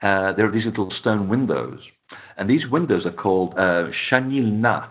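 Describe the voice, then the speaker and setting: A man whose first language is Portuguese, a guest explaining ancient temples at length in a relaxed way, with many fillers. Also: fairly low pitch, moderate pace. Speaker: author and guest. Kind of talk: phone interview, explaining at length. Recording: tinny phone line.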